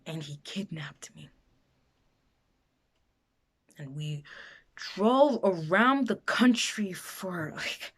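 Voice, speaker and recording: A woman playing a frightened, bitter foster girl in a dramatic confessional monologue, halting and emotional in her delivery. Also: on the low side, lively intonation, breathy edge, heavily acted. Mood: hushed, hurt, then anguished, agitated. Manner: halting, emotional, breaking into whispers and raised outbursts.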